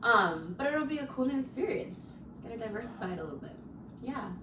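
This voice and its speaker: A woman's voice: on the high side, animated, a little fast, reverberant, distant mic, noisy background. A woman in her twenties, a guest chatting casually, with lots of "like" and frequent laughs. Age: 20s. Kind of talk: casual chat.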